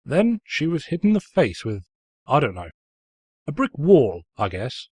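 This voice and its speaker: A man's voice: a little high, wildly swooping pitch, measured pace, a little mellow, slightly rough, no echo, full character voice. A man playing a sarcastic, pompous narrator who mockingly tells a comic fan fiction story. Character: snide, mocking male storybook narrator who insults the heroine.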